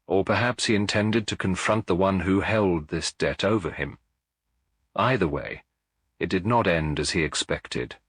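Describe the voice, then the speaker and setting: A man voicing a shrewd, calm Belgian gentleman detective in a mystery audiobook, deliberate, courteous and theatrical. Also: low voice, breathy edge, dry recording, clean studio sound, full character voice. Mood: calm, grave.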